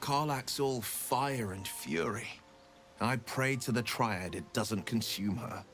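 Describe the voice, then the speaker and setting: A man playing a noble, earnest adventurer in a fantasy video game, speaking with measured, theatrical eloquence. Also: mid-range voice, vocal fry, a bit bright, soft background music. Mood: earnest, reflective. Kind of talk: dramatic fantasy game storytelling.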